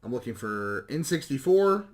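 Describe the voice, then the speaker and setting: A man with a slightly hoarse voice chats casually and ramblingly as a video host showing off recent pickups. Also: medium pitch, sing-song, moderate pace. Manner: rambling, self-correcting, mild swearing. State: slightly hoarse, losing his voice. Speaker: YouTube video game collecting host.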